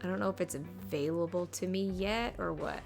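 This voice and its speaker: A woman's voice: lowish voice, a little fast, vocal fry. A woman giving casual, playful commentary with wry asides as she streams a game playthrough. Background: game music.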